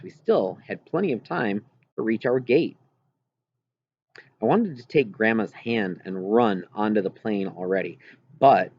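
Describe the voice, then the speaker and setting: A man's voice: lowish voice, darkish tone. A man reading a first-person story aloud as scripted audiobook narration. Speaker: audiobook reader. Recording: muffled, clearly noisy home recording.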